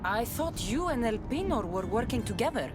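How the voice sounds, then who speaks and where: An actress playing a tough, skeptical mercenary heroine in a game, wry and guarded. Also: female voice, a little high, sing-song, normal speed. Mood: suspicious. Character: tough, skeptical female Greek mercenary hero. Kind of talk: video game cutscene acting. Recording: game music behind voice.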